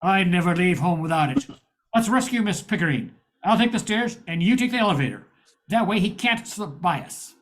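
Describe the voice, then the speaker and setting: An older woman playing a confident, brisk private detective in an amateur old-time radio drama. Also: lowish voice, lively intonation, a little hiss or hum, lightly acted. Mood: determined.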